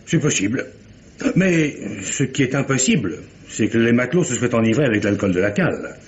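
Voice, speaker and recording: An actor playing a pedantic, unflappable scientific doctor, precise and formal, in a vintage French radio mystery. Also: male voice, mid-range voice, animated, a little fast, lightly acted. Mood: dry, categorical. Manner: precise, formal, dryly contradicting. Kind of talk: 1950s-style French radio mystery acting. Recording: dull vintage radio recording, faint noise.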